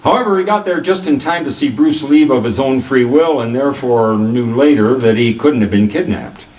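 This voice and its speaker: An actor playing a cool, self-assured private detective in the manner of 1940s radio drama, narrating and sparring wryly. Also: male voice, mid-range voice, moderate pace, a little mellow, lightly acted. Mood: explaining calmly. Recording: roomy echo, distant from the microphone.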